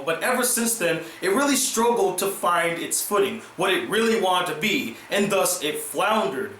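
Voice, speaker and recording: A man's voice: high-pitched, pushed and bright, reverberant, slight background noise, rough recording. A man with a sore throat, giving an earnest, opinionated scripted film review. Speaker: movie reviewer.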